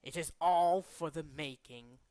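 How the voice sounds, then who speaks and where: A man voicing a cold, megalomaniac villain boss in a dramatic, deliberate video game monologue. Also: on the high side, a little mellow, dry recording, close to an everyday voice. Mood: contemptuous, resolute. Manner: grandiose, deliberate, menacing. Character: cold, megalomaniac male villain boss bent on remaking the world. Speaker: amateur voice actor in demo reel.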